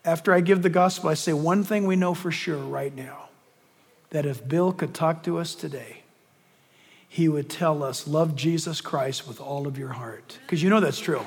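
A middle-aged man preaching a sermon, reading scripture with emphasis and dropping into hushed asides. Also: a little high, moderate pace, breathy edge. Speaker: pastor. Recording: long reverb of a large hall.

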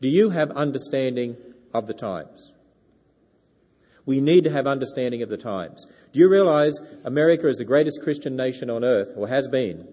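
A man's voice: mid-range voice, expressive, moderate pace, mellow. A man with an Australian accent giving a sermon to a church congregation on a handheld mic, folksy and dropping joking asides. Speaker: guest speaker. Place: church sanctuary. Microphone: wireless handheld microphone. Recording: reverberant hall.

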